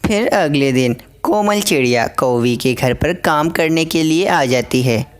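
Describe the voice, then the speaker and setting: A woman reads a scripted story for children as narrator. Her voice is fairly low, smooth and clear. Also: a little slow.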